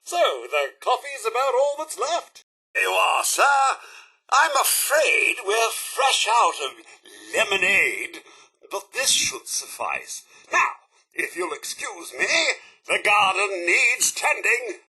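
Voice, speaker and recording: A man playing a polite, fussy robot butler in a video game, with a British accent, a raspy edge and a formal, theatrical, deferential delivery. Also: high voice, very animated, slow pace, pushed and bright, exaggerated voice. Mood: eager, earnest. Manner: formal, deferential, crisply pompous.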